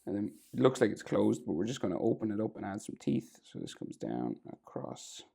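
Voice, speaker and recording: A man with a sore throat and a crackly, gravelly voice, talking casually through a drawing tutorial, often trailing off to a near whisper. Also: on the low side, sing-song, fairly quick, warm tone.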